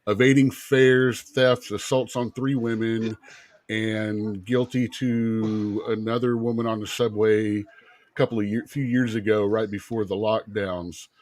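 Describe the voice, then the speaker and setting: A man's voice: fairly low pitch, level tone, unhurried, darkish tone, no echo. A man on a casual talk show arguing the other side, listing facts one after another. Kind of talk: casual discussion.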